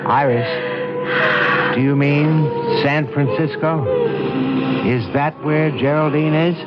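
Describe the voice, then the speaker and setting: An actor playing a calm, open-minded but mildly doubtful police lieutenant in a 1970s radio drama. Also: male voice, on the high side. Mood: questioning. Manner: calm, deliberate. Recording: dull old radio broadcast, loud music.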